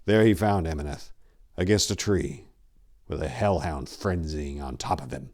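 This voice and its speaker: A man narrating dark fantasy horror as a dramatic reading, with a grave, intense, theatrical delivery. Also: a bass voice, normal speed.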